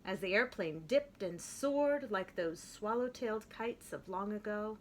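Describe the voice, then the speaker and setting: A woman reading a children's picture book aloud in an expressive way, slowing down to stress key phrases. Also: medium pitch, a little hiss or hum. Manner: expressive, with slowed emphatic phrases. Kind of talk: storybook read-aloud.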